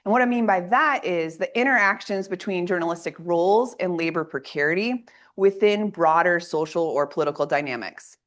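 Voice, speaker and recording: A woman, an assistant professor, gives an academic presentation in a lecture room, her voice a little raspy from a coming cold, speaking in a clear, organized way. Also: on the low side, a little fast, few pauses, a bit bright. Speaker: assistant professor giving a workshop talk.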